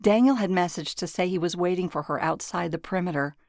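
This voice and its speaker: A woman's voice: on the low side, fast talker, no echo, studio quality. A woman reading scripted audiobook narration from a novel.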